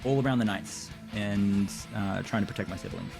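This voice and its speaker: A man's voice: fairly low pitch, normal speed, darkish tone. A man playing a tabletop role-playing game, casually describing his character's moves and hesitantly thinking aloud. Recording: clear music behind the voice.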